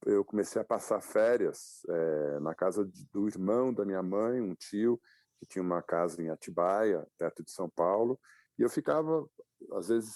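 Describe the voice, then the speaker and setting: A Brazilian man in his fifties, a guest telling his life story in a relaxed interview, with frequent fillers and restarts. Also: low-pitched, gravelly, dry recording. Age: 50s. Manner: reflective, many fillers and restarts. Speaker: guest, publisher and adventurer.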